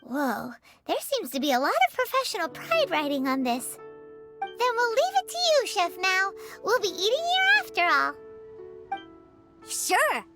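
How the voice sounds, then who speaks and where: A tiny, chirpy floating companion creature in a game. Her voice is very high and squeaky, bouncing lively up and down. Soft game music plays behind. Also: unhurried, heavily acted. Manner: chirpy, talks of herself by name.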